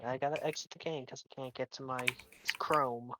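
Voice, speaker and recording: A man's voice: medium pitch, fairly even intonation, very noisy. A young man playing in a tabletop game over voice chat, bantering and arguing with the game master. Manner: bantering, pushy, quick to argue. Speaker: player. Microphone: online voice chat mic.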